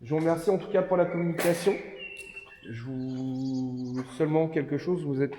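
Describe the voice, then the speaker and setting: A man's voice: on the high side, moderate pace. Hesitant man in an echoey derelict hall. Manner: hesitant, many fillers, drops to hushed murmurs. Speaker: paranormal investigator. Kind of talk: exploration vlog, calling out to spirits. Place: large abandoned industrial building, indoors. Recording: echoey hall with faint noise.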